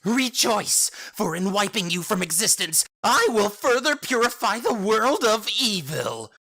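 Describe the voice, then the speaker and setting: Performer doing a grandiose, drawn-out fanatical preacher man. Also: mid-range voice, sing-song, sharp tone, slightly rough, voice pushed up, exaggerated voice. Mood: triumphant. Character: haughty self-righteous fanatic male sermon giver, bloodthirsty zealot.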